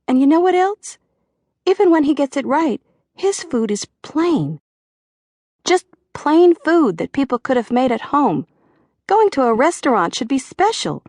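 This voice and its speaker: A woman putting on a grumbling head waiter with a broad Georgia accent, raised high and loud as he rattles off complaints. His delivery swings widely and lands dry and close. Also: sing-song, unhurried, no room sound, full character voice. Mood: exasperated. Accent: Georgia (US South). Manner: moaning, exasperated. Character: middle-aged man, complaining head waiter.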